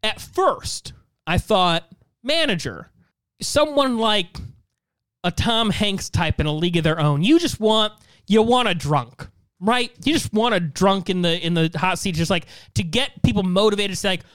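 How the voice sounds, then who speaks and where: A man's voice: high-pitched, expressive, a little slow, a bit bright, clear, resonant tone, no room sound. A man co-hosting a podcast, speaking in casual panel chat. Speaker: podcast co-host.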